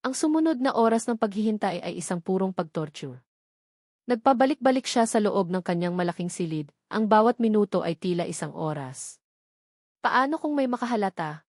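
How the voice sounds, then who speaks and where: A woman's voice: medium pitch, animated, brisk, a little mellow, dry recording, clean studio sound. A woman reading a scripted, dramatic audiobook story as its narrator.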